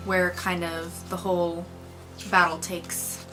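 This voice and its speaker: A woman's voice: on the low side, level tone, slow, over music, rough recording. A woman co-hosting a casual movie review, talking informally and enthusiastically with slang.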